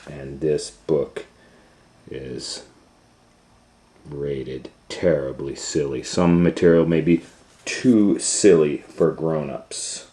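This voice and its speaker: A man hosting storytime, reading a children's story aloud in a theatrical way with dramatic pauses and swells. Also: rumbling low, steady tone, warm tone. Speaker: storytime reader and host. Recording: roomy, echoing sound.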